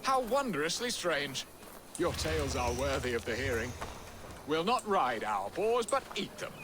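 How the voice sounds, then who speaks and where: Over clearly noisy outdoor game sound, a jovial, scheming Saxon lord. His voice swings widely in pitch, flamboyant and florid, savoring every theatrical phrase of medieval drama. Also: sing-song, a little slow. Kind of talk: dramatic video game cutscene acting.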